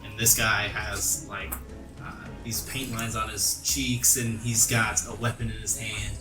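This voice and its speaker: A man's voice: fairly low pitch, flat delivery, a little slow. A man narrating a tabletop role-playing game as game master, in a descriptive storytelling way. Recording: roomy echo, distant mic, music underneath. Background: music bed.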